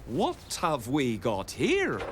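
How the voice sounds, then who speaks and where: A man's voice: medium pitch, wildly swooping pitch, slow pace, very noisy. A man voicing a stolid adult police officer in a children's cartoon. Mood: surprised. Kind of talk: animated children's cartoon acting.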